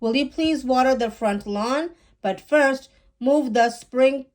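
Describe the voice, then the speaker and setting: A woman acts out a kindly storybook mother, busy with her household. She speaks emphatically in a raised pitch, as if calling to her children. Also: slow, a little mellow. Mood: instructing.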